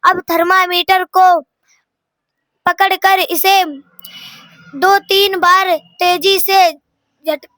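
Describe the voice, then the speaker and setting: A young girl, a student, reading a textbook aloud in a halting, word-by-word way with stumbles. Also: extremely high pitch, little pitch movement, sharp tone, no echo.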